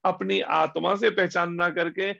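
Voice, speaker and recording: High gravelly man giving a sermon. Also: fairly even intonation, sharp tone. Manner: slow, deliberate emphasis with pauses on key words; rhetorical questions to listeners. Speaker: spiritual teacher. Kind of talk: devotional discourse. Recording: dull lo-fi recording.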